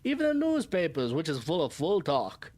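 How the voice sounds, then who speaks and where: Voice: medium pitch, huge pitch swings, moderate pace, darkish tone. A reader doing a brusque old sailor. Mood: brusque, dismissive. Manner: brusque, dismissive, rustic dialect. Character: very old gruff skeptical English sailor, plain-spoken.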